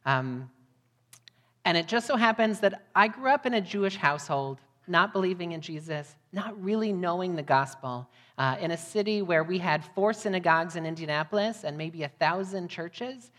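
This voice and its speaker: Low-voiced American woman preaching in a hall. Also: lively intonation, normal speed, fairly bright tone. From United States, Midwest. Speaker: missionary guest preacher. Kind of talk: sermon to a congregation. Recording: long hall reverb.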